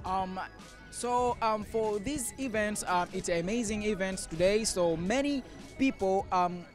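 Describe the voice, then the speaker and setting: A sixteen-year-old boy from Tanzania, speaking slowly with many pauses and ums. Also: high voice, faint music behind. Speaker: young ambassador.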